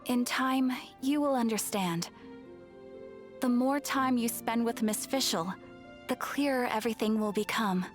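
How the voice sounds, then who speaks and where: Guild receptionist played by a woman, fairly high voice, formal and composed, earnest briefing in a game cutscene. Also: soft background music, close to an everyday voice. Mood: polite. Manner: formal, measured, polite. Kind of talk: video game cutscene acting.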